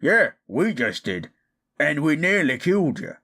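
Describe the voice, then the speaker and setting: A man playing a gruff orc fighter with rough, colloquial speech in a fantasy audio drama. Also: fairly high pitch, very animated, measured pace, pushed and bright, full character voice. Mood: stern. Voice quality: gravelly, husky.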